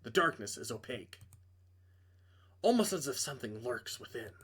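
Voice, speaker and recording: A man's voice: medium pitch, sing-song, normal speed, a bit bright, breathy edge. A man reading a visual novel aloud as dramatic narration, with casual asides when he stumbles and corrects himself.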